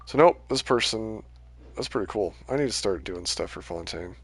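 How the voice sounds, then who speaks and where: A man's voice: on the low side, animated, normal speed, gravelly. A man giving casual, rambling gameplay commentary on a livestream, his voice starting to tire. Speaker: game livestreamer.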